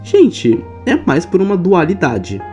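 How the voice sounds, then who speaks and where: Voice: medium pitch, takes it slowly. A young adult with a Brazilian accent explaining story lore in a casual, chatty, slangy way. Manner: informal, slangy, animated storytelling. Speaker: video creator answering viewer questions. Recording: background music behind voice. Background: music.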